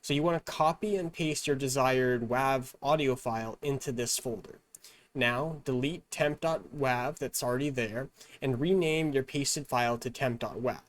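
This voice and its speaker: A man presenting a step-by-step software tutorial in an instructional, measured manner. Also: mid-range voice.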